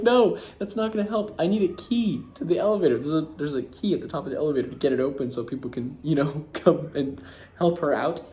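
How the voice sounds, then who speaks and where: An American man in casual storytelling, animated and laughing as he acts out what people said. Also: on the high side. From United States. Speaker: vlogger.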